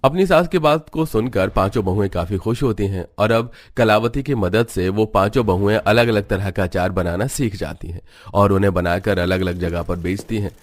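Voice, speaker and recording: A male narrator reads a scripted cartoon story. His voice is on the low side, in a storytelling style. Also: normal speed, hardly any pauses, no echo.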